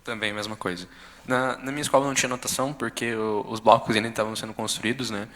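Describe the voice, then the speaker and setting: A young man with a Brazilian accent and a fairly low voice speaks informally, feeling his way through an account. His voice rings with long reverb in a big room. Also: steady tone, fast, fairly bright tone. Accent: Brazilian Portuguese. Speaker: exchange student giving a testimony. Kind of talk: informal spoken account at a public session. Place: council chamber. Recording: echoey hall with long reverb.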